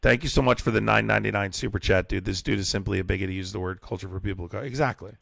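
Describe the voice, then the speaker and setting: A man's voice: fairly low pitch, very fast, gravelly, fairly bright tone, dry recording, studio quality. A young man hosting call-in debates on a live stream, exasperated and mocking, who says he is losing his voice.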